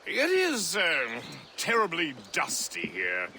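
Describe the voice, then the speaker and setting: Flamboyant dandy, high-pitched, drawling slowly, theatrical, jovial, game dialogue. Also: male voice, wildly swooping pitch, pushed and bright, a little hiss or hum, exaggerated voice. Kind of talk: video game voice acting.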